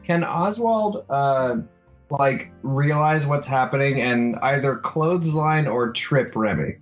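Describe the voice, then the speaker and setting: A man's voice: medium pitch, slow pace, soft background music. A man playing a tabletop role-playing game, chatting casually and playfully.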